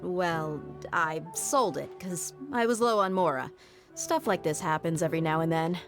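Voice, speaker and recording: A woman's voice: on the low side, moderate pace, faint music behind, natural voice. An actress playing a tough, cocky but loyal female mercenary bodyguard in an anime game, talking with casual swagger. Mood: sheepish.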